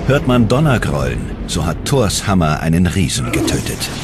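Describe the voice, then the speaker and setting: Documentary narrator, male, low voice, measured dramatic scripted read over prominent music. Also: very animated. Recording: loud music under the voice. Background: loud background music.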